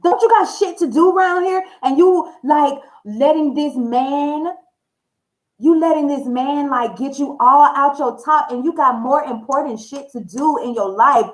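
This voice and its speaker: A woman's voice: high-pitched, a little slow, rough recording. A woman telling a story and voicing God as an impatient, sassy scolder with bossy, salty slang. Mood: impatient scolding.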